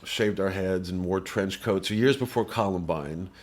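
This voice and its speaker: A man's voice: low voice, a little slow. A man from Missouri, a comedian and guest, telling rambling stories in casual conversation and often restarting his sentences.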